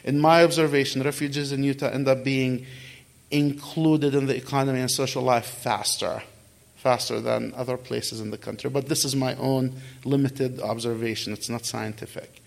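Gravelly middle-aged man telling his story. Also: medium pitch, steady tone, moderate pace. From Kuwait. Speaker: guest speaker answering audience questions. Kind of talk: conversational personal storytelling.

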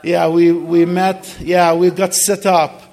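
Gravelly middle-aged man telling his story. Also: high-pitched, little pitch movement, takes it slowly, a little mellow. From Kuwait. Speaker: guest speaker answering audience questions. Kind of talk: conversational personal storytelling.

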